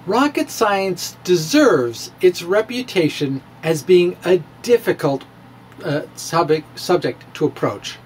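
Middle-aged male science presenter, dramatic reader with very animated pitch, unhurried pacing and pointed pauses. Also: slight background noise. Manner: theatrical, drawn-out emphasis with pauses. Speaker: science blogger giving a dramatic reading. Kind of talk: theatrical reading of a popular science blog. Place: small room with bookshelves. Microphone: handheld-style microphone on a stand, close in front.

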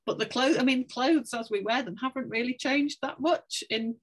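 A woman's voice: high-pitched, moderate pace, husky. A woman speaking as a panelist on an online discussion, reflective and hesitant with many fillers. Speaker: panelist, researcher. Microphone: video call laptop mic.

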